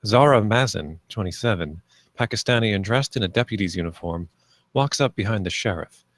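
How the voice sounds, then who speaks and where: Low-voiced man reading screenplay directions. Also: animated, a little fast, dry recording. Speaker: stage directions reader. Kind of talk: scripted narration at a screenplay table read.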